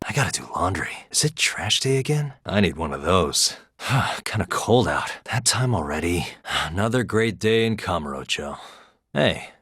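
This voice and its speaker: A man's voice: low-pitched, sing-song, moderate pace, fairly bright tone, slightly rough, heavily acted. An American man playing a wry, streetwise private detective in a video game, speaking casually and sardonically in short lines and asides. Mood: casual, weary. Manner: casual, sardonic muttering with frequent sighs.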